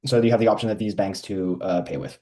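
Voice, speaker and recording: A man walking through a software feature in a casual screen-share demo, with frequent ums and uhs. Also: on the low side, fairly even intonation, fast.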